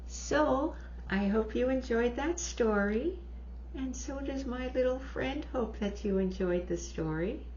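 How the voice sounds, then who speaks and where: Woman, children's story reader, very slow pace, mid pitch, expressive and drawn-out, dull lo-fi recording with faint noise. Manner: expressive, drawn-out phrasing, gasps of wonder and questions to young listeners. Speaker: storyteller reading a picture book to children. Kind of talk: animated children's read-aloud storytelling.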